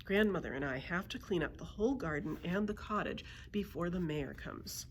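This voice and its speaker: A woman's voice: fairly low pitch, lively intonation, normal speed, a little hiss or hum. A woman voicing a kind, patient young girl in a children's storybook, encouraging and careful. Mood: cautious, friendly. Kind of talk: children's storybook read-aloud.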